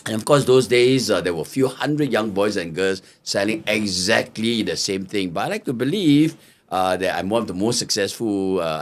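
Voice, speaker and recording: An older man from Singapore, a podcast guest telling lively stories and mimicking hawkers' cries. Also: mid-range voice. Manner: lively storyteller, mimics market hawkers' calls. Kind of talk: storytelling interview answers.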